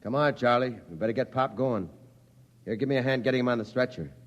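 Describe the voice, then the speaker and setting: An actor playing a capable male rocket pilot in a 1950s radio drama, clipped and commanding yet gruffly caring. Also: medium pitch, fast, highs cut off, natural voice. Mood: gentle, businesslike. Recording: faintly noisy old radio recording.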